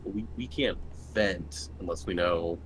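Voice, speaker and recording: A man with a fairly low voice chats as a player in a tabletop game. He talks casually and jokingly, with some swearing. A little noise sits in the recording. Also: a little slow, rough recording. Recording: faint background noise.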